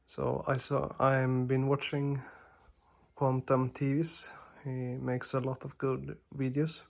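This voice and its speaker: A man talking slowly and carefully in English, with a gravelly, creaky edge to his voice. His pitch stays flat and level as he hesitates and restarts his sentences. He is recorded on a camera mic in a dark living room at home. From Sweden. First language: Swedish. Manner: hesitant, many fillers and restarts, apologetic. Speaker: TV reviewer. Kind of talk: casual product demo narration.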